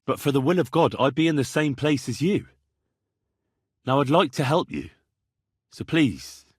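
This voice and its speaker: A young British man as a medieval servant turned adventurer in a video game. His voice is husky and middle-pitched, with lively, swinging intonation. He speaks earnestly and plainly, in a dry, close recording. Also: normal speed, no room sound. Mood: earnest, matter-of-fact. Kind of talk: scripted game character dialogue.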